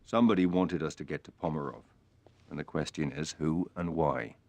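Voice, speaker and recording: Deep-voiced, cool British secret agent. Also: male voice, expressive, moderate pace, gravelly, a little mellow, no room sound, heavily acted. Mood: resolute, businesslike. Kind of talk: video game cutscene acting.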